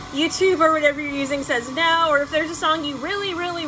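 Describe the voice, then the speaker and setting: A woman's voice: sits high, background noise. A woman hosting a video editing tutorial in a casual, chatty, informal way.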